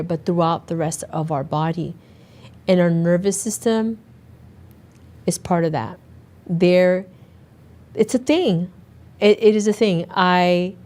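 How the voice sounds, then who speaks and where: A middle-aged woman hosting her own podcast, her voice raspy and hoarse from a recent illness, talking earnestly and conversationally and often restarting her sentences. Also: lowish voice, a little mellow. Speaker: podcast host and naturopathic doctor. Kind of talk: casual solo podcast talk.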